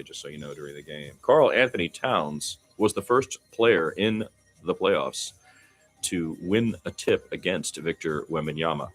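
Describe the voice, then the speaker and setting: A man's voice: low voice, fairly bright tone. A man doing amateur radio-style basketball commentary in a conversational, folksy manner. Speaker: play-by-play commentator.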